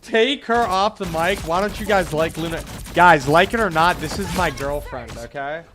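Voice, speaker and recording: A man's voice: a little high, normal speed. A man streaming live, joking around and reading out viewers' questions in a casual chat. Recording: noisy stream mic with clicks.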